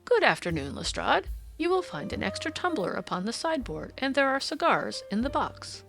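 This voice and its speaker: A woman voicing a confident, amused gentleman detective who speaks precisely and teasingly in an audiobook story. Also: high voice, sing-song, moderate pace, creaky, soft background music, pitched above the performer's own voice, heavily acted. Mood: self-satisfied, chatty.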